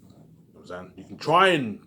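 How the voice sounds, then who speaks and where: A man's voice: mid-range voice, big pitch swings, quick pace, pushed and bright. A man rambling casually and repetitively to his audience, swearing often, with emphatic bursts that trail off into muttered tags. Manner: frequent swearing. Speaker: vlogger. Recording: clearly noisy background.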